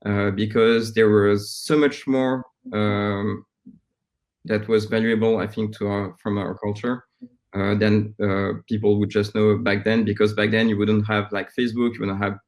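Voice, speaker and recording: Low-voiced Frenchman in his thirties. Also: little pitch movement, a little slow, a little mellow. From France. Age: 30s. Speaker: startup founder, interview guest. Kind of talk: conversational interview answers.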